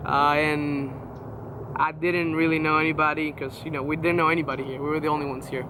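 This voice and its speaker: A man's voice: on the high side, level tone, brisk, noisy background. A nineteen-year-old man from Venezuela with a Venezuelan Spanish accent, a native Spanish speaker telling his faith story casually, with many fillers and restarts. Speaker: guest sharing testimony. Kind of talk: personal testimony, casual.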